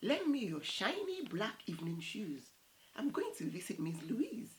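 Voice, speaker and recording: A woman's voice: medium pitch, huge pitch swings, moderate pace, slight background noise. A woman voicing a poor but confident male suitor in a storybook folktale, keen and direct. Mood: eager, asking.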